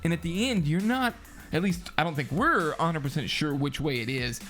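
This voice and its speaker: A man's voice: fairly high pitch, animated, fairly quick, a bit bright, faint music behind. A man co-hosting a film review as a casual chat, with informal, slangy phrasing.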